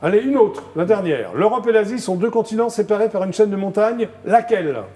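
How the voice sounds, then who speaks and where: High-voiced man hosting a game show. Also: expressive, noisy background. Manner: chatty, teasing, quick prompts. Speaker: TV show host.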